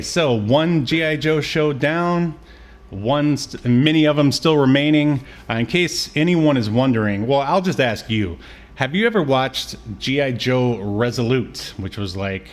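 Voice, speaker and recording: A man with a medium-pitched voice speaks as a podcast co-host. His delivery is casual and conversational, rambling along loosely as in a chat between friends. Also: a little slow. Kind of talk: casual chat about cartoons.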